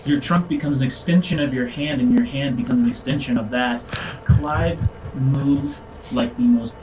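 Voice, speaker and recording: A man narrating a tabletop game as game master, improvising descriptions in a halting, informal way. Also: mid-range voice, lively intonation, distant mic, noisy background. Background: music.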